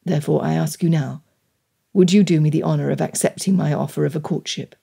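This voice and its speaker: A woman voicing an earnest young gentleman suitor in a period romance audiobook, speaking formally and solemnly. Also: sits low, steady tone, fairly quick, gravelly, breathy edge, pitched below the performer's own voice, full character voice.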